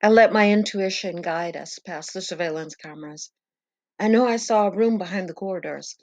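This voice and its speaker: A woman's voice: lowish voice, normal speed, darkish tone. A woman giving an earnest, suspenseful first-person narration of a dramatic story.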